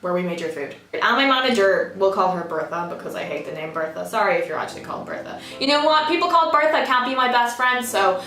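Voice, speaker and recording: A woman's voice: medium pitch, lively intonation, fast talker, hardly any pauses, a bit bright. A young Irish woman with a slightly American-tinged accent, telling sarcastic work stories in a casual chatty way, and swearing freely. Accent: Irish, partly American-sounding. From Ireland. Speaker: vlogger. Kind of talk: casual storytime monologue. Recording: roomy, slightly distant, music bed.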